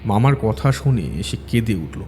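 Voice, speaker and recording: Brief: male horror narrator, low and mellow, dramatic and suspenseful. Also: very animated, unhurried, in a large hall, slight background noise. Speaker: storyteller narrator.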